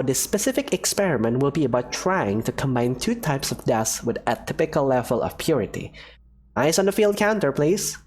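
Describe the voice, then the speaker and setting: A woman's voice: sits low, darkish tone, husky. A woman voicing a cheerful, reckless female professor who lectures breezily and teases her assistant. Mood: lecturing. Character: eccentric, cheerful female dust science professor. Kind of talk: fan game voice acting.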